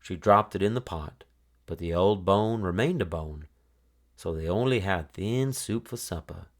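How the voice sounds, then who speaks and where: A man reading a children's story aloud as an expressive storyteller. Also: low-pitched, unhurried.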